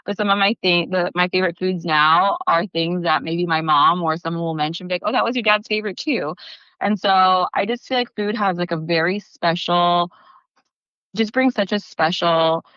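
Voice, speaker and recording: A woman's voice: fairly low pitch, fairly bright tone, no echo, highs cut off. A young woman, born in the Philippines and raised in California, chatting as a guest about her bakery, with lots of fillers and restarts. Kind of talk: casual interview talk.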